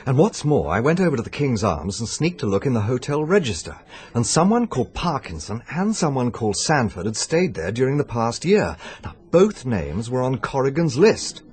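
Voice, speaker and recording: An actor playing an earnest, worried amateur investigator with a British accent, articulate and insistent, in mid-century radio drama style. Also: male voice, mid-range voice, big pitch swings, normal speed, darkish tone, close to an everyday voice. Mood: urgent, intrigued. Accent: British English.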